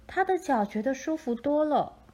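A woman narrating a children's story from a script in a gentle, expressive storytelling manner. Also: high voice, normal speed.

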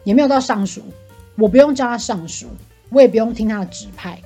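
一位年輕的台灣女性，台灣腔中文，像在播客裡閒聊抱怨，講話直白又愛感嘆。. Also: female voice, a little high, fast, dark tone, breathy edge, faint music behind. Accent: Taiwanese Mandarin. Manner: talkative, exclamatory, bluntly complaining. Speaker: podcast co-host venting about work. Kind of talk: casual chat.

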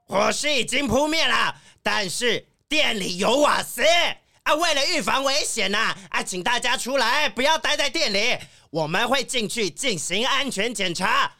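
A man's voice: very high voice, lively intonation, moderate pace, creaky, few pauses, bright, forceful tone, exaggerated voice. A man playing an urgent, commanding firefighter in a children's audio drama.

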